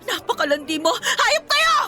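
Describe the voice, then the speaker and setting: A woman's voice: very high-pitched, sing-song, moderate pace, sharp tone, soft background music, heavily acted. An actress with a Filipino accent playing a jealous, confrontational young woman in an emotional radio romance drama. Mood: furious, hurt. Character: jealous, fiery young woman, betrayed girlfriend.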